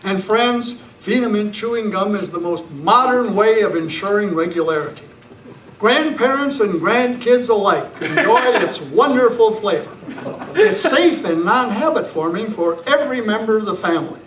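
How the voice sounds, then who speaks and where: A man's voice: sits high, lively intonation, normal speed, fairly bright tone. A man reading scripted narration as the announcer of an old-time radio show re-enactment. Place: club meeting room, live performance. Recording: echoey noisy meeting room, distant mic.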